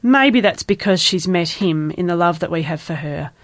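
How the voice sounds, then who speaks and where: Woman reading her own story, calm and earnest, lowish voice, dry sound. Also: moderate pace, dry recording. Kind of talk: scripted first-person testimony.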